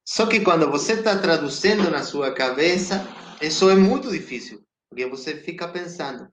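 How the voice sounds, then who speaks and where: A Chilean man, a native Spanish speaker with a Chilean Spanish accent, talking reflectively as a guest actor on a video call, halting and restarting often. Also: fairly high pitch, expressive, a bit bright, a little hiss or hum. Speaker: guest actor and theater director. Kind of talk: casual interview chat. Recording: roomy echo.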